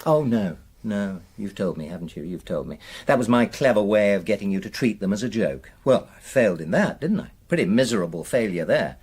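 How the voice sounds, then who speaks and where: A man's voice: fairly low pitch, expressive, fairly quick, few pauses, darkish tone, husky. A man acting in a naturalistic radio drama as a defensive, aggrieved husband, earnest and emphatic as he justifies himself. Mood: wounded, indignant. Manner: earnest, emphatic, self-justifying, hesitant under questioning. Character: aggrieved, defensive middle-class husband suspected of an affair.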